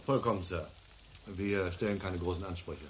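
A man's voice: low voice, normal speed, slight background noise, close to an everyday voice. An actor playing a proud, guarded ex-convict policeman in a German radio crime drama, speaking in a clipped, formal way. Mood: formal, deferential. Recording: vintage radio play with music behind.

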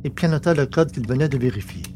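A man's voice: medium pitch, animated, fast talker, dark tone, soft background music. A man reading scripted literary science fiction narration as the storyteller. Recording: clearly noisy background with hum.

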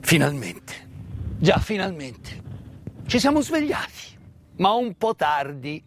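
A man delivers a theatrical monologue as a storyteller, solemn and emphatic, with deliberate pauses and repetitions. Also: fairly high pitch, big pitch swings, a bit bright, slight background noise. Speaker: stage actor and storyteller.

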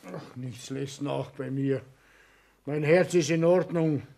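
An actor playing a stubborn, gruff, ailing older businessman with a Bavarian accent, grumbling bluntly in a 1960s radio play. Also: fairly high pitch, slow, darkish tone. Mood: stubborn, dismissive. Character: stubborn, gruff ailing family businessman who denies his illness. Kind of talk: naturalistic 1960s German radio play acting.

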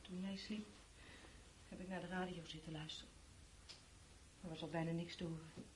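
A woman's voice: lowish voice, little pitch movement, normal speed, lightly acted. An actress playing a stubborn, resigned woman in a radio play, speaking in a defiant, matter-of-fact way. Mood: resigned, pleading. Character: stubborn, resigned woman wanting to stay and await the end. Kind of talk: Dutch radio play acting. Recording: faintly noisy radio drama recording.

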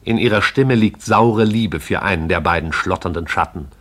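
An actor with a husky voice as the poetic, all-seeing narrator of a radio play. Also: male voice, low-pitched, normal speed, a bit bright. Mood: lyrical, wry.

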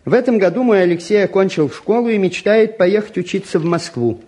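A mild intellectual father of middle age. His voice sits a little high and he speaks deliberately and soothingly. The style is that of a vintage Soviet radio play. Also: lively intonation, warm tone. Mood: reading aloud. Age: middle-aged. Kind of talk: mid-century Soviet radio-play acting.

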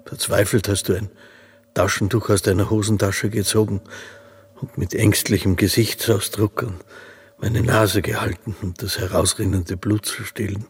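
A man narrating a dramatic radio play in an intense, bitter tone with a raspy, gravelly voice. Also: lowish voice, little pitch movement, moderate pace. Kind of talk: dramatic literary reading.

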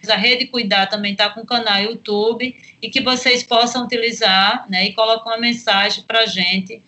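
Expert guest: Brazilian woman, bright and fairly high voice, didactic delivery, laying out practical tips. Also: fairly even intonation, normal speed. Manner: didactic, listing points. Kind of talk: explanatory interview answers with practical tips.

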